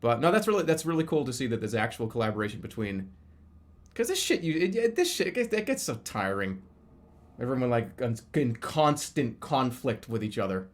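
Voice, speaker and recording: A man's voice: medium pitch, very animated, a little fast. Rambling man in his thirties, streaming casually. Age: 30s. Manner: rambling, repeats and restarts phrases. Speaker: live streamer. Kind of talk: casual livestream chat commentary.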